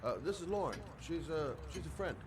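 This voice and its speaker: A man playing an easygoing middle-aged private investigator in a video game, polite, casual and low-key. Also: lively intonation, fast, noisy background, close to an everyday voice. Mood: relaxed, friendly. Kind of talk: video game dramatic acting.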